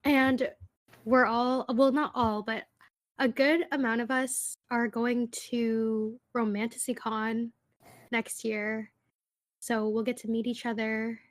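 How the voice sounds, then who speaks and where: A woman's voice: fairly high pitch, level tone, slow pace. A woman in her twenties co-hosting a podcast, chatting casually with many fillers and frequent laughter. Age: 20s. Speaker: podcast co-host. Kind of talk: casual chat.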